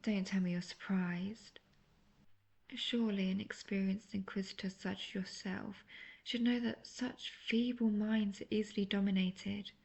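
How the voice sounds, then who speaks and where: A woman playing a manipulative, seductive imprisoned witch in a fantasy audio roleplay, speaking intimately in a breathy near-whisper. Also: mid-range voice, a little mellow. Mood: smug, taunting.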